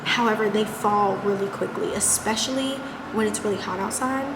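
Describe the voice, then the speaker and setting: Young woman vlogging, girlish voice, chatty, echoey room with loud noise behind her. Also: medium pitch, moderate pace. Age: young adult. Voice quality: says she has a baby voice. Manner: chatty and upbeat, many fillers like "like". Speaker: lifestyle vlogger. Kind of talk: casual vlog chat to camera.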